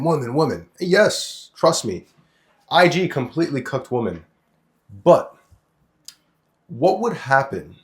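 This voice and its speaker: A young man from Lebanon holds forth on a podcast in casual, opinionated chat. He talks bluntly and crudely and drops swear words into animated stories. His voice sits in an ordinary middle pitch. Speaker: podcast co-host.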